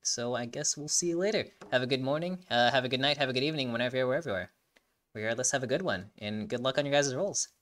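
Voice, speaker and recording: A very quick, bright voice hosting a casual breakdown video. The delivery is enthusiastic and rambling, with phrases stumbled over and restarted as the thought forms. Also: mid-range voice. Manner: rambling, stumbling, repeats phrases while searching for words. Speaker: video host. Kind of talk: casual reaction and breakdown commentary.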